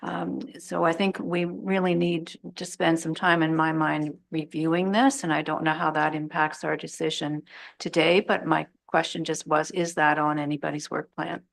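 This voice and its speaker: A woman with a very low, gravelly voice speaks at a council meeting. Her pitch stays flat and even as she poses measured, thoughtful questions. She speaks into a desk microphone in a council chamber. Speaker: deputy mayor.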